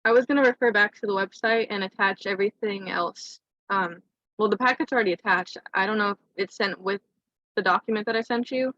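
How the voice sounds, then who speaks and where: A woman's voice at an average pitch, heard over dull, lo-fi call audio. She gives a casual work update to her team, with little room sound around her. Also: a little fast, a bit bright, no echo. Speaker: team member giving an update.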